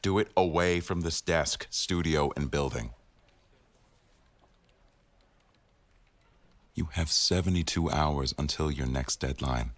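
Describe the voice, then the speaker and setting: A man playing a teasing, bossy but doting newsroom boss in an intimate boyfriend roleplay audio, with a wry, unhurried delivery. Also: extremely low pitch, no room sound. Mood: firm, concerned.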